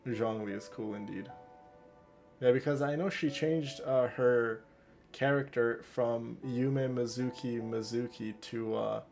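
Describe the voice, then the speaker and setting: A man livestreaming a game with casual, chatty commentary, often halting and repeating words. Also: mid-range voice, fairly even intonation, creaky, darkish tone, soft background music.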